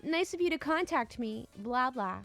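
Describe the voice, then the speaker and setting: A woman's voice: high voice, expressive, moderate pace, creaky, a bit bright, faint music behind, full character voice. A woman voicing a petty, penny-pinching woman who haggles dismissively, in a falsely sweet tone. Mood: breezy, dismissive.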